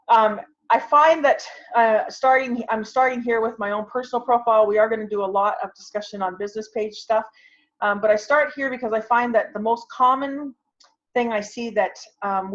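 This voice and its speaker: Woman teaching, muffled call audio. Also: mid-range voice. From Canada. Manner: conversational, thinking aloud as she demonstrates. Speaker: online class instructor. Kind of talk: informal tutorial with screen sharing. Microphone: video call.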